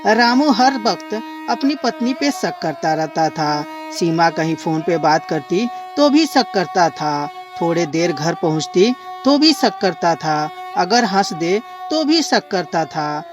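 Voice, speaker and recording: A woman's voice: lowish voice, sing-song, slow, highs cut off. A woman storyteller reading a scripted moral tale as narrator. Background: background music.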